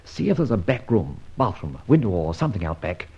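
A dull, band-limited old radio recording of a suave gentleman sleuth. His voice is gravelly and creaky, and he toys with mock-grand phrases in a wry, theatrical way, like a hero of an adventure serial. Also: fairly low pitch, very animated, fairly quick, exaggerated voice. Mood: brisk, curious.

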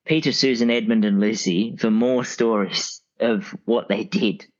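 A man talking casually as a podcast guest, in halting, hesitant phrases. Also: fairly low pitch, muffled recording. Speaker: podcast guest, audiobook expert. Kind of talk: casual conversation.

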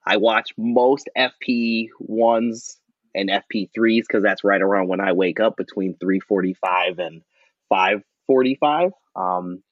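A man's voice: on the low side, measured pace, dry recording. A man in his thirties from Wisconsin with a noticeable Wisconsin accent, chatting casually as a podcast guest over a call, rambling with lots of fillers. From Wisconsin, USA. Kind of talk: casual chat. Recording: dull, lo-fi audio.

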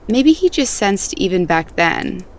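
An actress playing a curious, thoughtful woman with a gentle, probing manner, in naturalistic audio drama dialogue. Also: mid-range voice, very animated, measured pace. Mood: gently probing. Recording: faint outdoor ambient noise.

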